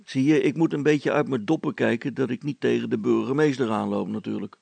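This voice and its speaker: A self-important storybook village policeman, acted out by a man, bossing and scheming in folksy speech. The voice is heavily gravelled and husky, with no room echo. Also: medium pitch, brisk, a little mellow. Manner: colloquial, dismissive, self-important.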